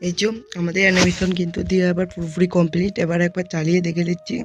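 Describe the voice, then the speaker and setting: A tutorial host narrating software steps one by one, speaking informally to viewers as friends. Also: mid-range voice, level tone, rapid-fire, faint music behind.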